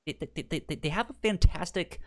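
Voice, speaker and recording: A man hosting a casual book discussion show, talking informally and haltingly with a stutter that repeats sounds and syllables. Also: a little high, a little mellow. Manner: halting, informal, thinking aloud. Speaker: podcast host.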